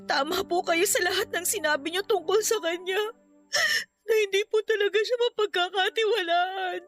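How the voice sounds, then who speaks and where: A woman's voice: very high voice, fairly even intonation, moderate pace, faint music behind. A woman playing a young, remorseful niece in a radio drama, crying and pleading respectfully. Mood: tearful, remorseful. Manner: pleading, deferential. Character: tearful, remorseful young niece, grateful to her aunt. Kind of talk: Filipino radio drama acting.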